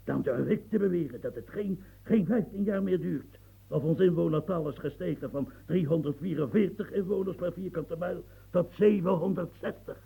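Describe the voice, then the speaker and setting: An actor in a radio play voicing a husky, fiery old marshal in his seventies, who rants and stammers when flustered. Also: high-pitched, normal speed, warm tone, heavily acted, low-quality recording. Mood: impassioned. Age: 70s. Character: old marshal of seventy-three, fiery, long-winded reformer.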